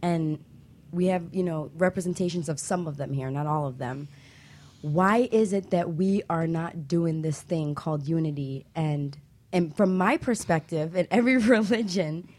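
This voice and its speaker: A woman hosting a casual panel, putting questions in a thoughtful, slightly halting way. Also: low voice, darkish tone. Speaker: talk show host.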